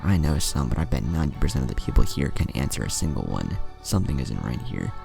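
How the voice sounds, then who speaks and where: A man's voice: a bass voice, big pitch swings, fast talker, vocal fry, music in the background, heavily acted. A man voicing a cocky, shrewd young male ninja trainee in a narrated story, with a confident, casual manner. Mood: pondering.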